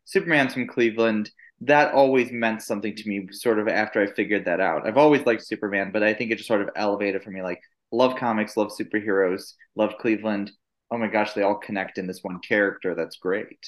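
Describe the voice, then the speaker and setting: A man's voice: fairly low pitch, fairly even intonation, fairly quick. Chatty man telling his story, full of fillers. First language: Italian. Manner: rambling, storytelling with many fillers and restarts. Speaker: guest. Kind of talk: casual podcast interview.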